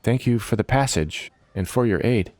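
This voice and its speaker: A man's voice: fairly low pitch, lively intonation, moderate pace, darkish tone, no room sound, voice pushed up, lightly acted. Fantasy adventurer male reader in role: polite, earnest, slightly hesitant, lighter register.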